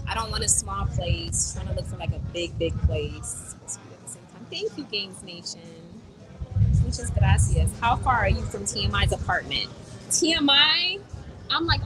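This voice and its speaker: A young American woman chatting casually on a phone live stream, blunt and outspoken, answering her chat. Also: mid-range voice. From United States. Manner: chatty and blunt, mimics others in quotes, swears. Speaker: vlogger reading live chat. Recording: noisy with passing vehicles.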